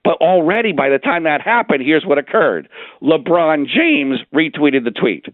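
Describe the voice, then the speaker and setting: Male radio guest, slightly high voice, very animated delivery, indignant political argument, lo-fi call sound. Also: normal speed, dry recording. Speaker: guest commentator on a radio show. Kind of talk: opinionated political talk.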